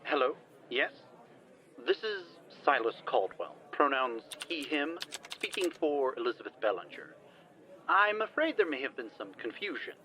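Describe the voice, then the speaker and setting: A bluffing explorer in an audio drama, with a mid-pitched, forward, very lively voice. He puts on formal courtesy and smooth talk, then trips over his own words. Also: moderate pace, poor audio. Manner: affectedly formal, stumbling when bluffing. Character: smooth-talking, bluffing male exploration team member.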